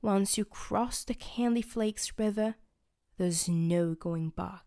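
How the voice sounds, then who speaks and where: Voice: medium pitch, slow pace, darkish tone, slight background noise. A woman voicing a wise, patient old professor tooth in a storybook reading. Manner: patient, soothing explanation.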